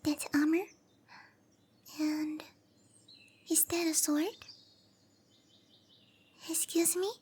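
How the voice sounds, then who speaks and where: A woman voicing a tiny, shy young cowgirl monster girl in an anime-style roleplay ASMR, hesitant and apologetic, with soft, breathy delivery. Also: sits high, flat delivery, extremely slow, pauses often. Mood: curious, excited.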